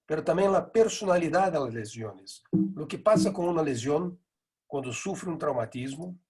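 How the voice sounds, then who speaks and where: A middle-aged man lectures in Spanish with a Portuguese accent that carries a Buenos Aires lilt. His voice is husky and sits a little high for a man. He talks in a measured, explanatory way and often pauses to find his words. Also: lively intonation, darkish tone. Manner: measured, explanatory, with frequent hesitations. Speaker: neurosurgery lecturer. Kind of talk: conference lecture.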